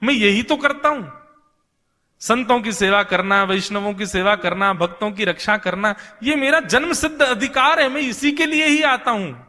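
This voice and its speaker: A man's voice: high-pitched, moderate pace, pushed and bright. A man voicing a small orphan boy, a god in disguise, earnest and self-assured, listing things repetitively in a devotional tale. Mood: confident, eager. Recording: long hall reverb.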